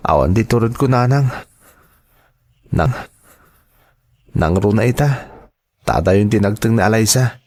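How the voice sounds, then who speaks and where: A man's voice: on the low side, a little slow, pauses often, darkish tone. A man acting in a radio drama as a shy, earnest young man in love, with an Ilocano accent and a hesitant delivery.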